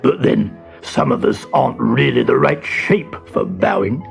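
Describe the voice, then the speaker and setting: A performer voicing a large, heavy, slow-witted but willing hippo in a children's storybook, with a drawn-out delivery. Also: mid-range voice, vocal fry, faint music behind, voice pushed down. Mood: slow, ponderous.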